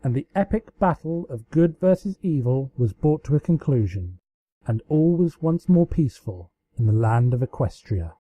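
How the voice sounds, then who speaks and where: A man's voice: medium pitch, lively intonation, normal speed, no echo, muffled recording. A man reading fan fiction aloud as a dramatic storybook narration. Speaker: amateur fan fiction reader.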